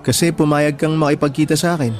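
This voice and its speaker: An actor playing a modest, insecure working man on a date in a radio drama, gentle and self-effacing, with a Filipino accent. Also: on the high side, flat delivery, quick pace, faint music behind, lightly acted. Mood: grateful, shy. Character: modest, insecure janitor courting a woman.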